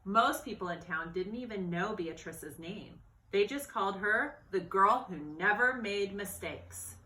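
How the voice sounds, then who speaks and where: A woman reading a children's storybook aloud in an expressive, animated narrating style. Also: mid-range voice, unhurried. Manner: expressive, acts out dialogue and exclamations. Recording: roomy, echoey sound.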